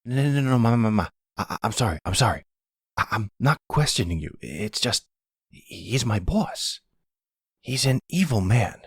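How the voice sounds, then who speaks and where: A man's voice: mid-range voice, sing-song, normal speed, husky, no room sound, clean studio sound, voice pushed up. A man playing a hot-headed, panicky young adult son in a mafia audio roleplay, whiny, defensive and prone to stammering. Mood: disgusted, pleading. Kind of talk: ASMR audio roleplay acting.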